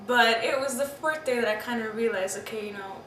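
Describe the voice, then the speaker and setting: A woman's voice: a little high, brisk, a bit bright. A woman in her twenties from Pakistan, giving a candid, reflective interview and often saying "you know" and "I mean". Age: 20s. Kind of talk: personal interview recollection. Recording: roomy, distant mic, background noise.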